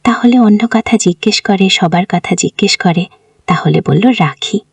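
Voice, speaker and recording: A woman's voice: medium pitch, normal speed, no room sound. A woman tells a family story in an informal, colloquial way, as a storyteller who voices the dialogue herself.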